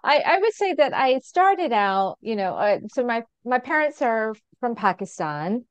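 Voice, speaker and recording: A middle-aged woman with an American accent talks earnestly as an interview guest, laughing easily and using fillers. Her voice is smooth and clear. The sound is dry and slightly dull. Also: fairly high pitch, big pitch swings, measured pace, dry recording. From England. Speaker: guest, physician. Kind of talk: conversational interview answer.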